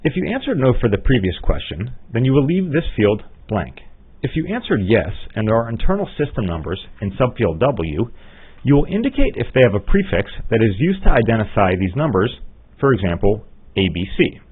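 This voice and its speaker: A man giving methodical, instructional narration for a scripted step-by-step software tutorial. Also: mid-range voice, big pitch swings, brisk, breathy edge. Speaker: software trainer. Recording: muffled, phone-like narrow-band audio.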